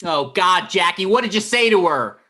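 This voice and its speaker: A man's voice: high-pitched, expressive, moderate pace, bright, forceful tone. An anxious young man played by a man at a table read. He is an indignant, slightly goofy boyfriend pleading his case in a naturalistic script over a video call. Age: young adult. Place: small room at home, video call.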